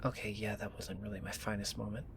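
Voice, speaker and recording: A man playing a pushy, teasing college student in an audio drama, with an expressive, bantering delivery. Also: lowish voice, quick pace, creaky, a little hiss or hum. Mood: indignant. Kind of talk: naturalistic audio drama acting.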